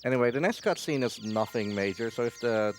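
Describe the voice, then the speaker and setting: A man giving casual live commentary as he plays a game, halting and distracted. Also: mid-range voice, lively intonation. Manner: halting, distracted while playing. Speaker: speedrunner. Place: live gaming marathon at a hotel event. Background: faint game music.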